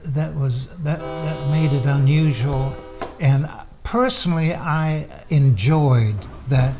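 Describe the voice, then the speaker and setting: An older man chatting with his son at home, halting and unhurried, with a dry sense of humor. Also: a little high, darkish tone, soft background music. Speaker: guest, host's father. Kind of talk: casual chat. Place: his condo, indoors.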